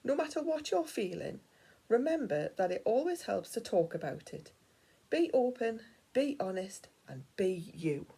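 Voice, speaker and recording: A woman reading a children's picture book aloud like a teacher, with dramatic storytelling that dips into hushed, breathy tones. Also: medium pitch, very animated, unhurried.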